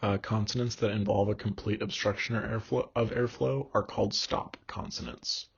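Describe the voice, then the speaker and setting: A young man delivers a recorded lecture in a slightly low, gravelly and husky voice. He explains things in a measured way, filling pauses with ums and uhs. The recording is dull and lo-fi. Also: fairly even intonation, a little hiss or hum.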